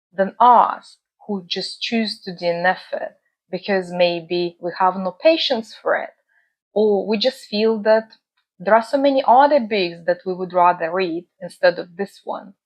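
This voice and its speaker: A woman's voice: mid-range voice, expressive. A woman, speaking earnestly and thoughtfully to her book channel's viewers. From Russia. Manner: earnest and measured, with frequent "uh" pauses. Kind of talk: reflective talk to camera. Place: home in the UK.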